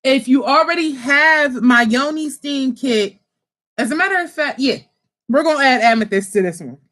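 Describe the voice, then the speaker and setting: A woman from Pittsburgh hosting a casual livestream about her spiritual kits, animated and chatty, swearing freely and calling her viewers y'all. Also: sits high, normal speed. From Pittsburgh, USA. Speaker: spiritual kit seller and channel host.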